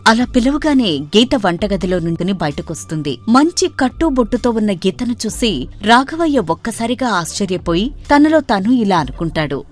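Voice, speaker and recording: A woman narrating a moral story in a steady storytelling manner. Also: mid-range voice, moderate pace, faint music behind.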